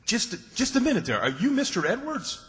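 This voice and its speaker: A cheery, officious bus station manager played by a man in old-time radio comedy style. His high voice is bright and carries long hall reverb. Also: wildly swooping pitch, fairly quick, heavily acted. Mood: pleased, officious.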